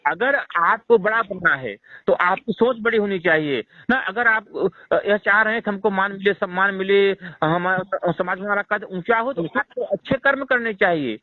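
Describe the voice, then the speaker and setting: A man with a distinctly high voice argues down a narrow, tinny phone line. He lectures his listener insistently and keeps pressing his point. The sound is dry and closed in. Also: moderate pace, few pauses, no room sound. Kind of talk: heated argument on a phone call. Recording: tinny phone-call recording.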